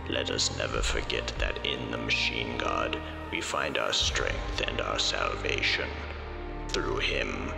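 An abyssally deep, heavily creaking sci-fi priest intones solemn litanies. The delivery is slow and reverent, like ceremony, and strong music plays behind it. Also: male voice, full character voice. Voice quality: extremely deep, gravelly. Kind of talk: sci-fi voice demo monologue. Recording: voice under loud music.

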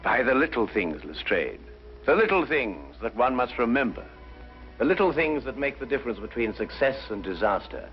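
An actor playing a brilliant, courteous detective, speaking precisely and deliberately in 1950s detective drama style. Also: male voice, medium pitch, big pitch swings, lightly acted. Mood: calm, assured. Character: brilliant, composed male detective, methodical and courteous. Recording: muffled 1950s broadcast, music underneath.

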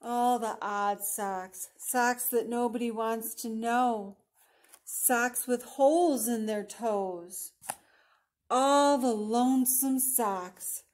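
A woman voicing a kindly, helpful male storybook friend with a gentle, reassuring manner. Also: on the high side, very slow. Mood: mournful. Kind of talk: children's storybook character voice.

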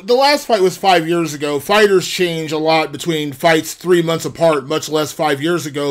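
A male reporter with a notably high voice. He puts long, conversational questions to interviewees. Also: a bit bright.

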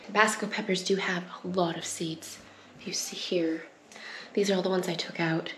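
A woman's voice: on the low side, steady tone, moderate pace, fairly bright tone. A young woman casually talking through a home cooking project in her kitchen, chatty and laughing easily. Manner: chatty, laughs easily, coughs at pepper fumes. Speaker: homestead cooking vlogger. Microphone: wide camera on the counter. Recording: echoey kitchen with background noise.

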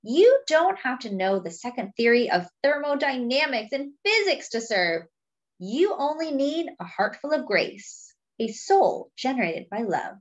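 A woman reading a picture book aloud to young children in a deliberate, teacherly way, adding simple explanations as she goes. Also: sits high, big pitch swings, dull audio. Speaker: children's read-aloud storyteller.

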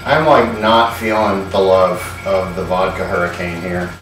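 A man's voice: sits low, steady tone, unhurried, far from the mic, noisy background. Sardonic man in an echoey kitchen. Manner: sardonic, irreverent, swears casually. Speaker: host. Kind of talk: comedic home cooking show. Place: home kitchen.

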